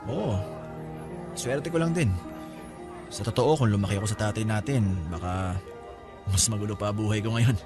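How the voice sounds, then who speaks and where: A man acts a breezy older brother in a radio drama. His voice is on the low side, casual and frank, with a teasing, talkative manner over clearly audible music. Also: moderate pace, pauses often, close to an everyday voice. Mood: reflective, frank. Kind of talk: Filipino radio-style drama dialogue.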